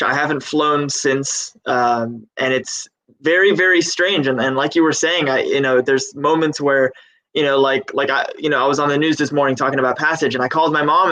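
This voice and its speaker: A man on an online panel, speaking quietly and haltingly about something painful. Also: mid-range voice, poor audio.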